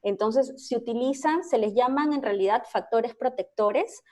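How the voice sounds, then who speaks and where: A woman clinical psychologist, a guest explaining in an interview, measured and explanatory, with frequent hesitations. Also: on the high side, darkish tone.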